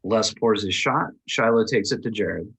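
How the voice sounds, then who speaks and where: A man with a husky voice narrating a script's stage directions at a table read. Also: lowish voice, moderate pace, a bit bright.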